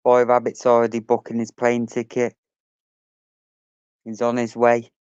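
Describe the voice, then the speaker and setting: A man's voice: medium pitch, monotone, measured pace, a little mellow, clear, resonant tone, no room sound, muffled recording. A man hosting a casual livestream, chatting and reading out messages from his chat, swearing now and then.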